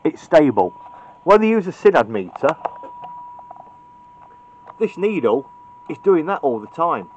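A man's voice: fairly high pitch, big pitch swings, unhurried, long pauses, darkish tone, highs cut off, a little hiss or hum. A British man giving an informal, opinionated hands-on tutorial in a blunt way, recorded on a camera's built-in microphone. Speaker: radio repair hobbyist presenting a tutorial. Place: indoors at a cluttered electronics workbench.

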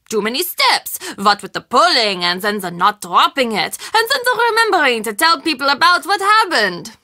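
An actress playing a friendly, superstitious castle shopkeeper in a video game, confiding and expressive. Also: female voice, medium pitch, dry recording, clean studio sound. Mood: exasperated, dry.

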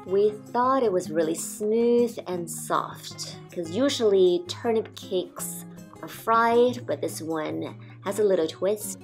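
Slow, calm woman narrating a food review. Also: mid-range voice, soft background music. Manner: calm, measured, descriptive. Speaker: food vlogger.

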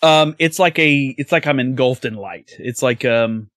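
A man's voice: mid-range voice, moderate pace, a bit bright. A man playing in a tabletop game, describing his character in a rambling, hesitant way with easy laughs. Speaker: player. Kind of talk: casual tabletop roleplay chat.

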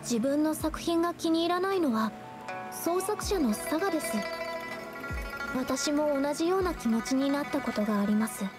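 High soft shy anime game girl painter, young adult woman, hesitant, music behind. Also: lively intonation, moderate pace. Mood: wistful. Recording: game dialogue over background music.

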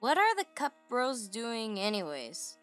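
A woman's voice: on the high side, huge pitch swings, unhurried, a bit bright, clean tone, soft background music, heavily acted. A woman voicing a playful, bashful young male cup in a cartoon comic dub. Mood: teasing, bashful.

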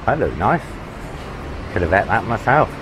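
A man's voice: fairly low pitch, big pitch swings, normal speed. A man vlogging as he walks along a city street, chatting to viewers in a casual, opinionated way, recorded on a handheld camera. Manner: chatty, opinionated, talks to viewers while walking. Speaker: travel vlogger. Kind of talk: casual walk-and-talk narration. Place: outdoors on a busy city roadside. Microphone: handheld camera mic. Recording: street traffic noise behind.

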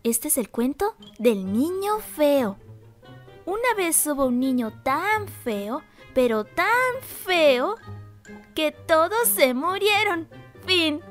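A woman voicing an excitable, mischievous cartoon kid in a fandub, with a Latin American accent. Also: high voice, slow pace, soft background music, heavily acted. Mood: mock solemn. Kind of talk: Spanish-language cartoon comic fandub.

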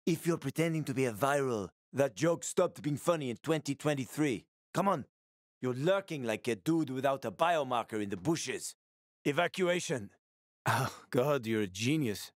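A man's voice: mid-range voice, animated, moderate pace, no room sound, clean studio sound, lightly acted. An actor playing a roguish, wisecracking male survivor in a video game, talking in a casual, teasing, sweary way. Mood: wry, friendly.

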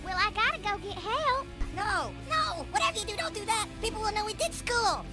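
Young cartoon pupil, piping and worried, under loud music. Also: female voice, very high voice, expressive, normal speed. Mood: anxious, bickering. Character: anxious young cartoon schoolkid in trouble.

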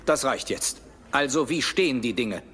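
An actor playing a grave, decisive British government minister in a dubbed film, authoritative and curt. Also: male voice, mid-range voice, animated, moderate pace, a bit bright, a little hiss or hum, lightly acted.

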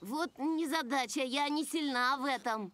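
Earnest pony, high voice. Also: female voice, a little slow, heavy background noise. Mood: modest, sincere. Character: earnest female cartoon pony. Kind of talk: animated series dub acting. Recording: music under the voice.

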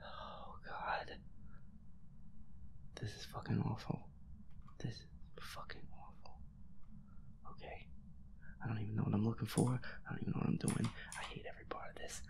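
Horror game player, whispery and gravelly, nervous muttered commentary, hesitant and sweary. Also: medium pitch, flat delivery, normal speed, pauses often, rough recording. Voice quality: hushed, whispery, breathy. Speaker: gamer playing a ghost-hunting game.

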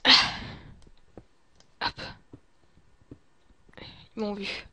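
A woman's voice: very slow, pushed and bright. A woman doing in-character gameplay commentary, muttering to herself, often dropping into whispers and breaking into exclamations. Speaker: gamer playing a role-play survival series.

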